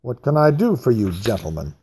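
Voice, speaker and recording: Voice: mid-range voice, big pitch swings, fairly quick, slightly rough. A reader voicing a stern military major who speaks firmly and sharply. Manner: firm, sharp, businesslike.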